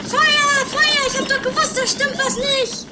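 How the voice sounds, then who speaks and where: A woman's voice: very high-pitched, little pitch movement, measured pace, over a noisy crowd, very noisy. A woman playing a nagging, worried pessimist in an amateur comedy radio play, always complaining. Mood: alarmed, complaining. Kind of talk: amateur trash radio play, comic drama. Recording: loud music and crowded uproar behind voices.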